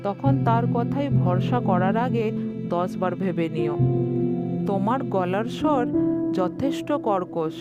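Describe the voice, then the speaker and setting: A woman voicing a sly, hungry, flattering fox in a children's cartoon story. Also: medium pitch, pitched below the performer's own voice. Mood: smug. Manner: unhurried, coaxing flattery. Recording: dull lo-fi sound with music behind. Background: music.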